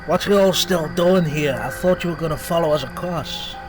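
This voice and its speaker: A man's voice: a little high, moderate pace, music in the background, lightly acted. A man playing a brusque, teasing train driver in an audio drama, matter-of-fact in manner. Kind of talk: audio drama character acting.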